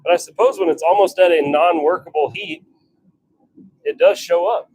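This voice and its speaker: A man's voice: sits high, normal speed, darkish tone, breathy edge, no echo, rough recording. A man chatting informally on a livestream while he works, talking half to himself and half to viewers. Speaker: livestream host, hobby blacksmith. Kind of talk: casual livestream chat while working. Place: home forge workshop.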